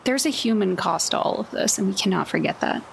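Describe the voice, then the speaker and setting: A woman's voice: medium pitch, lively intonation, fairly quick, gravelly, a little hiss or hum. A woman talking casually as a player in a tabletop roleplaying game.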